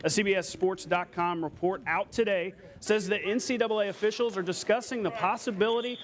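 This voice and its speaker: A man's voice: sits high, fairly even intonation, fairly quick. An American man anchoring a local TV sportscast, reading scripted news with playful, conversational asides.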